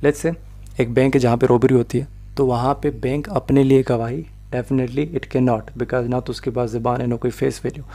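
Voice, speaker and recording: A man's voice: mid-range voice, little pitch movement, moderate pace, mellow. A man with a Pakistani Urdu accent giving a slide lecture on evidence law, mixing in English legal terms as he explains step by step.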